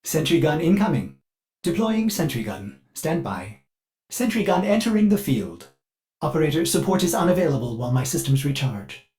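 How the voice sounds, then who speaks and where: Fussy, swooping robotic butler. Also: male voice, on the high side, sing-song, moderate pace, a little mellow. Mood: brisk, dutiful. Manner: mannered, formal, refers to itself in third person. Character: polite, fussy, eager male ship AI servant, prone to slips. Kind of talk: scripted game character voice lines.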